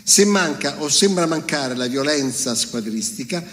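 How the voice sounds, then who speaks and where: A man's voice: fairly high pitch, animated, a little slow, a bit bright, in a large hall. A man reading out a political speech in a solemn, indignant, declamatory way. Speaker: reader.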